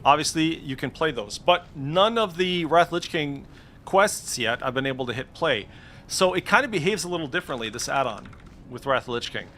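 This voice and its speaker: A man's voice: on the high side, expressive, moderate pace, a bit bright, a little hiss or hum. A man giving casual, informal screen-share commentary as a game streamer, swearing freely. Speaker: streamer demonstrating game add-ons.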